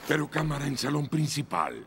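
An actor with a Latin American accent playing a big, timid, simple-minded caveman in a cartoon, gravelly and speaking in blunt broken phrases. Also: on the high side, very animated, a little fast. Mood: worried. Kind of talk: animated cartoon dub acting. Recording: loud music under the voice.

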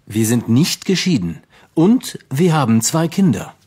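An actor playing a separated husband being interviewed, with a husky voice, for a textbook recording. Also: male voice, medium pitch, sing-song, a little slow, a little mellow.

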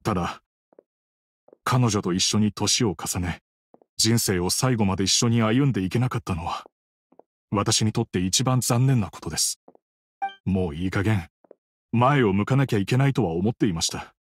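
A man's voice: on the low side, normal speed, long pauses, no room sound, close to an everyday voice. A man playing a grieving widower in an anime game, speaking politely, earnestly and with hesitation. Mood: regretful. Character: grieving adult widower, polite, troubled villager. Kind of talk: Japanese anime game voice acting.

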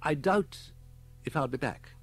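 A man with a British accent voicing an unwell, hesitant office worker in an audiobook, halting and drawn-out. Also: on the high side, animated, creaky, fairly bright tone, slightly rough, pitched above the performer's own voice, full character voice. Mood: uneasy. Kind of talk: audiobook character voice.

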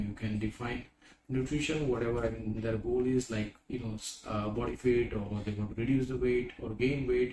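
A man in his thirties giving a casual screen-recorded software walkthrough, talking conversationally with many "you know" fillers, recorded on a desk webcam. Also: on the low side. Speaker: software product presenter. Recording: roomy with background noise.

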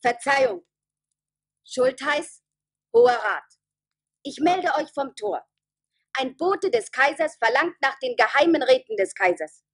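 A woman's voice: high-pitched, expressive, a little slow, long pauses. An actress playing a devoted townswoman who brings news, in a radio play. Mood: urgent, formal. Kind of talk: German radio play acting.

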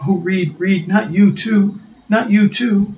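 A man's voice: high-pitched, steady tone, slow pace, a little mellow, pitched above the performer's own voice. A man reading a comic aloud and voicing a fretful young woman who pleads breathlessly, in a dull, roomy recording. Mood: frightened. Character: Susan, young woman, anxious and tender.

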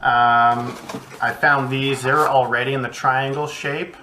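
Bright-voiced man teaching kids crafts. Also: medium pitch, measured pace, a little hiss or hum. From Canada. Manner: relaxed, chatty, many ums and uhs. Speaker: art educator. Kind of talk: children's craft tutorial. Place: small home studio.

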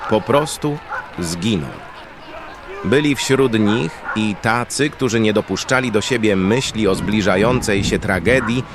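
A man narrating a scripted fantasy audio drama in a dramatic storytelling style, with outdoor ambience behind him. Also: lowish voice. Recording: clear background ambience noise.

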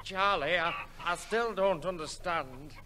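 Dim, high-pitched sidekick. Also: male voice, measured pace. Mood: puzzled. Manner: dim, hesitant, agreeable. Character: slow-witted, good-natured male sidekick. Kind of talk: 1960s radio sitcom acting. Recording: noisy, reverberant old radio broadcast.

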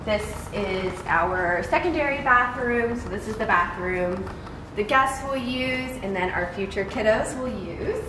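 A young American woman presents a house tour in a casual, enthusiastic way. Her voice sits at a middle pitch. She is a little way from the mic in an echoey, bare room with noticeable background noise. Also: animated, unhurried, distant mic. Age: young adult. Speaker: vlogger, homeowner giving a tour. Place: bare framed house interior, indoors.

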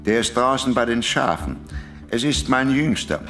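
An actor playing a hospitable, measured peasant father in a Bible audio drama. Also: medium pitch, expressive, a bit bright, soft background music, natural voice. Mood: serious. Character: hospitable, concerned peasant father of grown sons.

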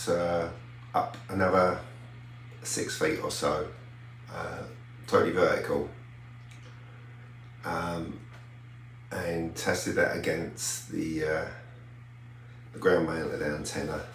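An older man talking casually and haltingly in a low-key, unhurried way, like a hobbyist vlogging at home. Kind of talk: casual hobby vlog commentary. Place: small home radio room, indoors. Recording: roomy sound with faint hum.

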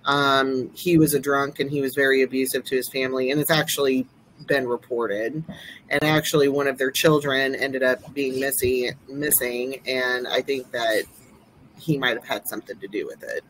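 Casting: woman in her 40s, deep low voice, rambling podcast chatter, webcam sound with faint hiss. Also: fairly even intonation, moderate pace, a bit bright, low-quality recording. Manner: rambling, lots of fillers and restarts. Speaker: podcast co-host. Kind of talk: casual chat.

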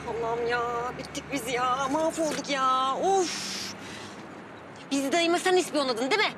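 A woman's voice: high-pitched, normal speed, heavy background noise, close to an everyday voice. A young actress playing an anxious, exasperated woman who whines and pleads in a TV drama. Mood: despairing. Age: young adult. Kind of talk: Turkish TV drama acting. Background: loud music.

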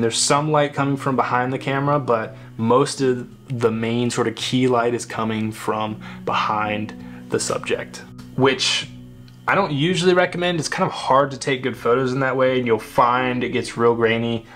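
Relaxed young man, rambling with fillers. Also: medium pitch. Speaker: photographer. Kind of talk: casual screen walkthrough. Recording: faint guitar music underneath. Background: faint guitar music.

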